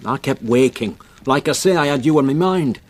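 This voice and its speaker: An actor playing a gruff, kindly, protective local man in a radio drama, blunt and reassuring. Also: mid-range voice, animated, normal speed, darkish tone, lightly acted. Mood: gruff concern. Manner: blunt, reassuring, plain-spoken.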